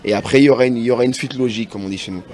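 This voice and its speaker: A man from France speaking as a TV studio guest, earnest and halting, often restarting his sentences. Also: medium pitch, darkish tone. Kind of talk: casual TV interview answers. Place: TV studio set.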